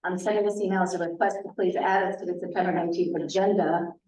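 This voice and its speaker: Woman, board chair, businesslike, lowish mellow voice, roomy hall, distant mic. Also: steady tone, fast, slight background noise. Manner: businesslike, calls motions and votes. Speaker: meeting chair. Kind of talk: town board meeting.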